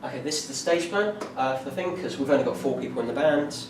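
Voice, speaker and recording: A young man giving an informal class presentation, talking in a halting way with many fillers and restarts. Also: medium pitch. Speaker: music student presenting a performance plan. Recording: echoey room from far off, noisy.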